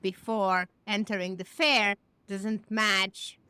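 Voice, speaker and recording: A woman's voice: medium pitch, little pitch movement, slow, pushed and bright, smooth, dry recording. A woman from Romania, answering interview questions confidently as a business founder. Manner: confident, matter-of-fact. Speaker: guest, naming agency founder.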